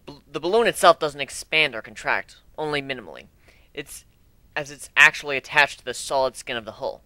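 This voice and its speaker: A man's voice: mid-range voice, expressive, a little fast, bright, forceful tone, no echo. A man giving an amateur dramatic reading of a first-person adventure story.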